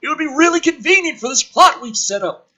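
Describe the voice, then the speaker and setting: A man's voice: very high voice, expressive, fairly quick, pushed and bright, pitched above the performer's own voice, heavily acted. A man voicing a smug, chatty, foul-mouthed government operative in a mocking script read, with flippant, exaggerated emphasis. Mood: smug, brash.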